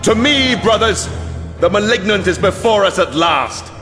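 Fierce, haunted holy knight, played by a man, high voice, declamatory, faint music under fantasy audiobook. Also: animated. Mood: defiant battle fury. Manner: declamatory, halting. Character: armored holy warrior, male, fierce but haunted and faltering. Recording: long reverb, music underneath.

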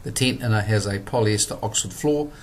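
New man, 50s, low voice, scripted product voice-over, faint noise behind. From New Zealand. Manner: measured, plain instructional delivery. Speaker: product presenter.

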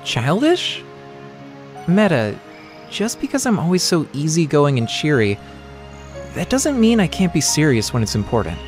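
Cheerful, expressive little round creature performed by a voice actor. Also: mid-range voice, normal speed, pauses often, soft background music, lightly acted. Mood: earnest, reassuring. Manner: earnest, casual, childlike. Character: cheerful, easygoing young round creature, earnest and caring. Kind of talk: casual comic dub voice acting.

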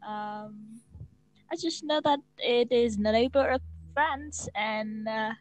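A nineteen-year-old woman with a Vietnamese accent, English not her first language, speaks into an earphone mic in a small room. Her voice is slightly high and dry, and she talks very slowly, pausing often as she hunts for words. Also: no echo, rough recording. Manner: hesitant, searches for words. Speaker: English student. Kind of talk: casual video-call lesson. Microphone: wired earphone mic.

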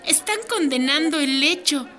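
A woman's voice: high-pitched, expressive, moderate pace, bright, forceful tone. A woman playing a direct, earnest women's rights advocate in a radio drama, speaking insistently. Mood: reassuring, determined.

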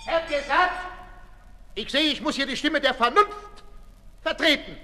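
A pompous asylum director in a 1960s radio play. His high, bright voice swings widely in pitch as he speaks with officious condescension. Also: animated, unhurried, exaggerated voice. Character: pompous male asylum director, fussy and patronising. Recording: reverberant hall, 1960s radio recording.

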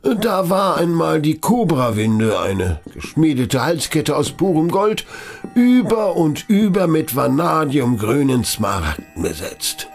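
A man plays a genteel, pompous private collector in an audio drama. His fairly high voice swings widely and takes its time, with effusive, fussy hesitations. Light music plays behind him. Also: sing-song, vocal fry, heavily acted. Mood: reverent, boastful. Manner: pompous, hesitating, drawn-out exclamations and chuckles. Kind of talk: German audio play acting.

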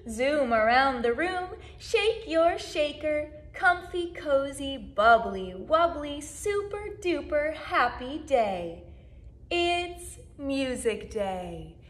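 A woman in her twenties, a children's music educator, reading a story aloud to young children with animated, sing-song delivery and drawn-out words. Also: high voice. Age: 20s. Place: small plain indoor room, seated.

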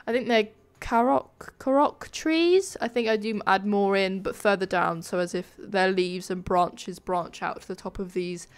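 A woman narrates a gaming video in a casual, self-effacing way. Her mid-pitched voice is nasal and a little flat, as she is ill with a sore throat. She thinks aloud informally as she goes. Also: unhurried, few pauses, clean tone. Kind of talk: casual speed-build commentary, chatty voice-over.

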